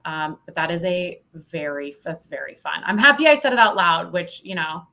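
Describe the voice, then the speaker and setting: American woman chatting casually, slightly low voice. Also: moderate pace, creaky, pushed and bright. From Montana, United States. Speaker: podcast guest, sales professional. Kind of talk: casual interview chat.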